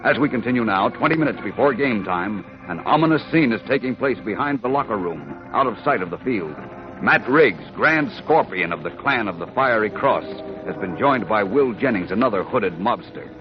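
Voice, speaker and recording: A man's voice: fairly low pitch, expressive, moderate pace. Declamatory male radio narrator over organ, muffled. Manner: declamatory, formal. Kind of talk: dramatic 1940s scripted narration. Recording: muffled 1940s radio recording. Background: organ music.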